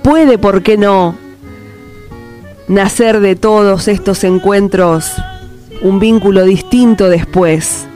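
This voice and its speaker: A woman radio host with a mid-range voice speaks slowly and lyrically, welcoming and effusive in her phrasing. She sounds like she is in a studio, with music playing behind her. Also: medium pitch, darkish tone. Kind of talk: live radio interview with reflective introduction.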